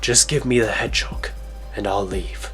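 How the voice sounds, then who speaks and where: A man voicing a calm, menacing scientist mentor turned villain, speaking in a measured, hushed way in an audio drama. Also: fairly low pitch, soft background music, voice pushed down, natural voice. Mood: calm menace.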